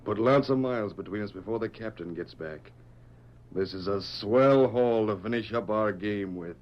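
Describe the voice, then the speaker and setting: Casting: male actor as corrupt western officer, lowish voice, curt and greedy, muffled old radio recording. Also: moderate pace, lightly acted. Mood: scheming. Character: corrupt, greedy army lieutenant, scheming villain. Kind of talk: 1940s radio western acting. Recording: muffled vintage radio recording, faint noise.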